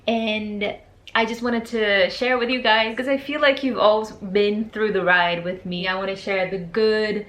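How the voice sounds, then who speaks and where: A young woman from Portugal, raised in Brazil, chatting casually on her vlog as a music photographer, animated and quick through her asides. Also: mid-range voice. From born in Portugal, raised in Brazil. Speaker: music photographer and channel host. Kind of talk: casual vlog chat to camera. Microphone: camera's built-in mic. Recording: faint room noise, no external mic.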